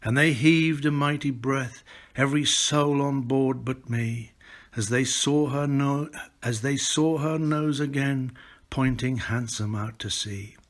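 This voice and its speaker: A man's voice: mid-range voice, takes it slowly. British older man reciting verse. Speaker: author and poetry reader. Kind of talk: expressive poetry recitation with spoken introduction.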